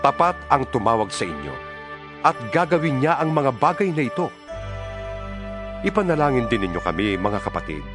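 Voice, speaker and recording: A man playing an earnest apostle in a dramatized scripture recording. His delivery is solemn and deliberate, with widely varied intonation at a mid pitch, over clearly audible music. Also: very animated, close to an everyday voice. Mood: earnest, exhorting. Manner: solemn, exhorting, deliberate phrasing. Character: apostle writing earnest, exhorting letters to fellow believers. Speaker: narrator of a dramatized Bible.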